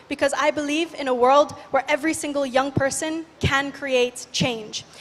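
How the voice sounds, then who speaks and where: A 21-year-old woman from Indonesia giving an energetic, lively talk on a conference stage. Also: high-pitched. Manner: lively, guides the audience in breathing. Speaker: youth speaker. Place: large conference hall stage. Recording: reverberant hall.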